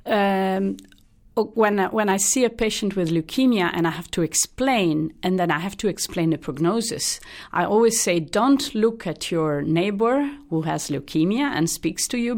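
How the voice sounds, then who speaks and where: A woman in her sixties from Italy with an Italian accent, a hematologist answering interview questions in a halting, thoughtful way. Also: fairly low pitch. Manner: thoughtful, halting, many restarts and fillers. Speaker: hematologist guest. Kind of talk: interview, explaining her career.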